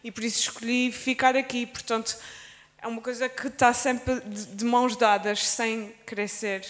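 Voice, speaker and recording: A woman's voice: a little high, level tone, normal speed, fairly bright tone. A woman from the Azores with an Azorean Portuguese accent, speaking as a panelist in a discussion, hesitant and often restarting her words. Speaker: panelist, stage performer. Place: public event space. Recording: long hall reverb.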